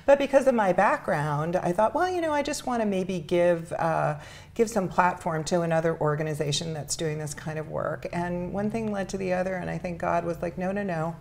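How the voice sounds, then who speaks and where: A middle-aged woman with an American accent, telling her personal story earnestly and reflectively as an interview guest, pausing between phrases. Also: on the low side, vocal fry, darkish tone, slight background noise. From New York City, United States. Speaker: interview guest, charity founder.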